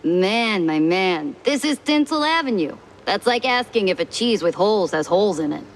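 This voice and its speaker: A woman voicing a brash, excitable explosives worker from a film lot in a cartoon noir game, chatty and slangy. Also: medium pitch, normal speed, a little hiss or hum, lightly acted. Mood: cheeky, gossipy. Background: music.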